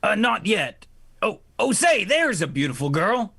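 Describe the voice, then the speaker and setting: A performer plays a gentle, teasing male confidant in an old radio comedy. The voice is gravelly at the edges and slow, swinging up and down through coy hesitations. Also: medium pitch, very animated, no echo, heavily acted. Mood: coy, hesitant. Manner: halting, drawn-out, coy hesitations. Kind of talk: 1940s radio play acting.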